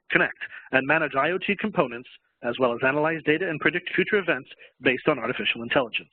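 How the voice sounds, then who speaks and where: Fast, formal webcast moderator man. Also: fairly high pitch, a little hiss or hum. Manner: formal, read from script. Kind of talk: scripted announcement. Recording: tinny phone-line webcast audio.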